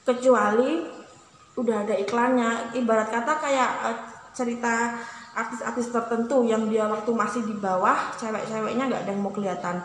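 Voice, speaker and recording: A woman's voice: fairly high pitch, fairly even intonation, normal speed. A woman vlogger talking casually to her viewers, rambling with many fillers and restarts. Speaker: YouTuber. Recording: echoey room with long reverb, noisy.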